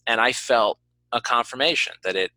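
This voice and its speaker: Bright, forceful man in casual conversation. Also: medium pitch, moderate pace, no room sound. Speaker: podcast host. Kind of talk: casual conversational interview talk.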